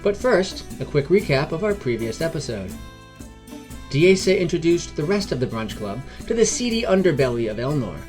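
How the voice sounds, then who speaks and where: A man's voice: on the high side, sing-song, normal speed, darkish tone. A man hosting and narrating a scripted fantasy adventure podcast. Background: background music.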